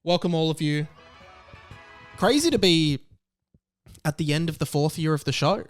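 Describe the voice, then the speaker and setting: A man's voice: on the high side, lively intonation, normal speed, faint music behind. A young Australian man hosting a podcast, talking in a casual, chatty and emphatic way on his own. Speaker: podcast host. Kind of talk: casual solo podcast monologue.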